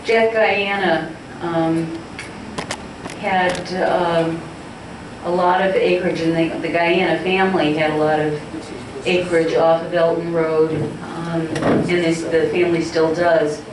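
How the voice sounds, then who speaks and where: A woman presenting old photos to a local group, chatting with many ums and pauses. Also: deep voice, little pitch movement. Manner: hesitant, many ums, fond. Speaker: presenter of a community history session. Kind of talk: casual storytelling to a group. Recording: echoey hall, distant mic, noisy.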